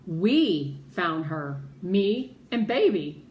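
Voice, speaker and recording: A woman's voice: on the low side, very animated, extremely slow, a little mellow, heavily acted. A woman voicing a tough, blunt street woman from a children's novel, speaking emphatically. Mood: matter-of-fact. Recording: roomy echo with background noise.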